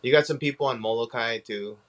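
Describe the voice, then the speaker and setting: A man's voice: mid-range voice, a little fast, a bit bright. A young man from Hawaii, a podcast guest talking in a laid-back, slangy way with lots of fillers. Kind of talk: casual chat.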